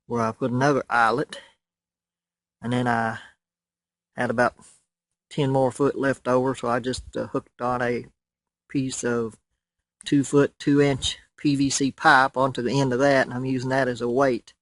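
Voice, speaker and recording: A plain-spoken man casually explaining a hobby setup in an unhurried way, outdoors behind a handheld camera. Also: medium pitch, level tone, a bit bright, dry recording. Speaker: radio hobbyist. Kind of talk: casual home video walkthrough. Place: outdoors in a wooded yard by a house. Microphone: handheld camera, held by the speaker.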